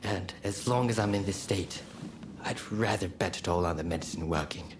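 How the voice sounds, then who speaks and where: Guy playing ailing loyal swordsman in a game, grave and low, noisy ambient background. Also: moderate pace, close to an everyday voice. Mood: resolute. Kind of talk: dramatic video game cutscene acting.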